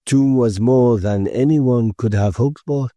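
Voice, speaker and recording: A man voicing a calm, unhurried young male rebel in audiobook dialogue. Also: mid-range voice, dry recording, muffled recording, voice pushed up, lightly acted. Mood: calm, assured.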